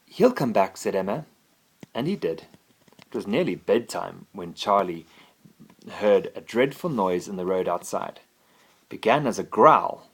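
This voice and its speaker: A man narrates a children's storybook at bedtime as a father would. His delivery is expressive, and his pitch moves around in a very animated way. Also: vocal fry, slightly rough.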